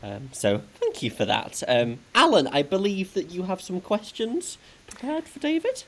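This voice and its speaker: A woman's voice: fairly low pitch, big pitch swings, normal speed, poor audio. A woman hosting, speaking casually as she introduces people and hands over questions. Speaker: host.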